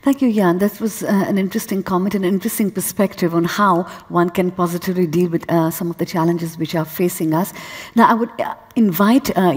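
A woman moderating a panel discussion on a conference stage, calmly thanking speakers and calling on the audience. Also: fairly low pitch, husky. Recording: long hall reverb.

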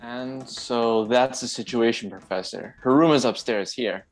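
Slow-spoken worried gentleman, played by a man. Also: mid-range voice, close to an everyday voice. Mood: concerned. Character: worried, polite gentleman doctor. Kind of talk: radio play acting.

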